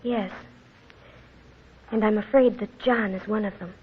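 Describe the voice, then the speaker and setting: A woman's voice: mid-range voice, fairly even intonation, a little slow, natural voice. A woman playing an earnest, plaintive young farm daughter in a 1940s radio drama. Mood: plaintive, unhappy. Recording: muffled, noisy vintage radio recording.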